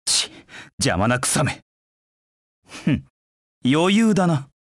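Video game dark knight, aloof, curt, dry close sound, lively pitch swings. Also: male voice, takes it slowly, no echo, exaggerated voice. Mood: forceful, challenging. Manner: curt, haughty, disdainful. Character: proud, cold male dark knight, aloof and confident. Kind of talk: video game voice acting in short battle lines.

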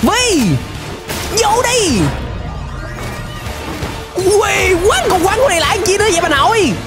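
A young man with a Southern Vietnamese accent hosting a reaction video with excited, exclamatory commentary. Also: extremely high pitch, a little slow. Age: young adult. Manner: exclamatory, animated. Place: indoor room, gaming setup. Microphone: close desk microphone. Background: music and cartoon soundtrack.

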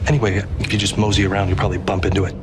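A man acting a brisk, offhand theater worker in a video game. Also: deep voice, little pitch movement, natural voice. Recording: noisy ambience behind.

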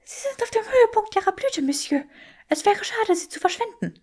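An impudent little female servant creature for a storybook. The voice is extremely high and lively. It sounds formal, knowing and falsely innocent. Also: a little fast. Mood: cheeky, coaxing. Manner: cheeky, mock-innocent, formal.

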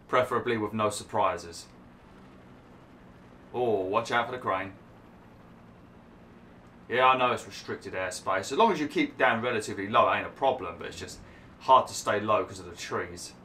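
A man's voice: on the low side, normal speed, long pauses, sharp tone, a little hiss or hum. A young man commentating over gameplay, chatty and grumbling, saying he is losing his voice. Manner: chatty, grumbling, laughs at himself. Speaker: gaming YouTuber.

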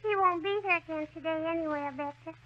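A woman's voice: extremely high pitch, fast talker. A woman playing a cheeky little girl who pesters with coy questions in 1930s radio comedy. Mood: coy, pestering. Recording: muffled 1930s radio recording.

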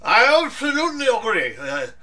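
An actor playing a theatrical, food-loving dandy in an audio drama, grandiose and effusive. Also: male voice, very high voice, huge pitch swings, normal speed, pushed and bright, a little hiss or hum, heavily acted. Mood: pompous.

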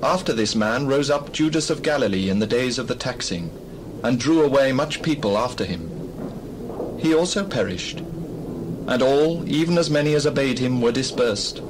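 An actor playing a measured Pharisee teacher of the law in a dramatized Bible reading, admonishing deliberately. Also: male voice, medium pitch, lively intonation, background noise, lightly acted. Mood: grave caution.

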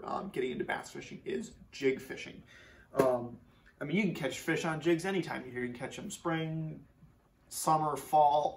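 A young man from Minnesota explaining fishing tackle in a casual, relaxed way, with lots of fillers, seated in a garage. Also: a little high, distant mic, slight background noise. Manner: relaxed, many fillers, repeats words for emphasis. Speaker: fishing channel host. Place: garage workshop, seated indoors. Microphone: camera a few feet away.

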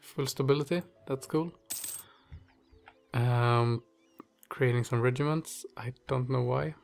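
A man's voice: mid-range voice, steady tone, gravelly. Stuffy-nosed guy rambling through a strategy game, lots of ums, bit of music under it. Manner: halting, thinking aloud with many fillers. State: stuffy nose, strained voice. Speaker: gaming channel host. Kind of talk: casual gameplay commentary.

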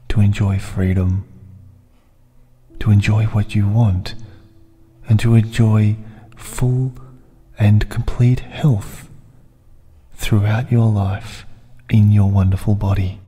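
A man softly narrating a hypnotic guided meditation in a soothing, deliberate way, with breathy phrases and long pauses. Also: on the low side. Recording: long reverb, music bed underneath. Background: music.

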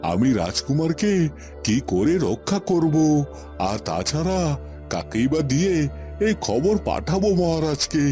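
A guilt-ridden cartoon loyalist, voiced by a man, lamenting in a fairly high voice that rises and falls widely. He pauses dramatically over faint music. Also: very animated, darkish tone. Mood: worried. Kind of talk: Bengali cartoon voice acting.

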